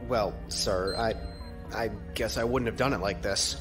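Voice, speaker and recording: A man's voice: on the low side, a little slow, lightly acted. Man playing an earnest young-officer lieutenant, music behind. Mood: hesitant. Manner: respectful, tentative. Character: deferential, earnest male junior fleet lieutenant. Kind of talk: audio drama acting.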